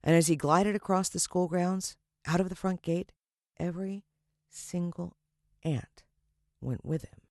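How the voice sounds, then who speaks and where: A woman's voice: fairly low pitch, fairly even intonation, a little slow, darkish tone, no room sound, clean studio sound. A woman with an American accent reading a children's story expressively as an audiobook narrator.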